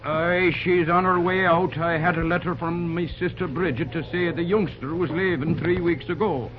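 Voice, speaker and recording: A man's voice: fairly high pitch, fairly even intonation, normal speed, a crowd in the background, lightly acted. An actor playing a good-natured, wry Irish railroad man with plain speech in an old-time radio drama. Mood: earnest. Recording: dull, noisy old radio recording.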